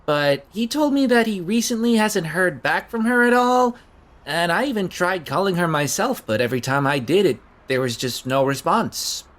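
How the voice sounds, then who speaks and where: Woman acting hesitant, low-voiced nervous young job seeker. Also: animated, normal speed, close to an everyday voice. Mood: defensive, explaining. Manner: hesitant, stammering, rambling. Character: awkward, nervous young job seeker caught sneaking at night. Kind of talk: naturalistic audio drama acting.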